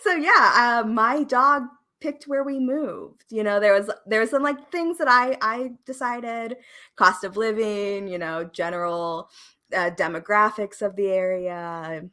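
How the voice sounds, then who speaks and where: A woman in her twenties from Oregon, a podcast producer, talking in a relaxed, rambling way with fillers and small laughs. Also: mid-range voice, measured pace. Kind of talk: casual conversation interview.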